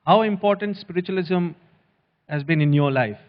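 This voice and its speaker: A man's voice: sits high, normal speed. A man interviewing a guest on stage, asking formal, polite questions. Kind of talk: onstage interview. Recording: echoey hall, dull sound.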